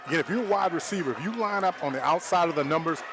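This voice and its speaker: A man's voice: a little high, lively intonation, very fast, noisy background. A man giving conversational color commentary and analysis during a live football broadcast.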